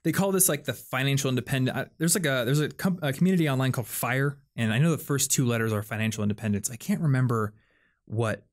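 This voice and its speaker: A young man talks through ideas on a casual podcast. He explains loosely and often fills pauses with "like" and "um". His voice sits in a middle range. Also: mid-range voice. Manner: chatty, explains things with lots of "like" and "um". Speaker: podcast host.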